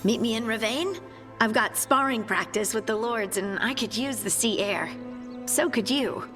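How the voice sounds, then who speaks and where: A woman's voice: fairly high pitch, moderate pace, a bit bright, faint music behind, close to an everyday voice. Woman playing a plucky adventurer, reverberant voice. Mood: friendly. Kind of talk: video game voice acting. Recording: long hall-like reverb.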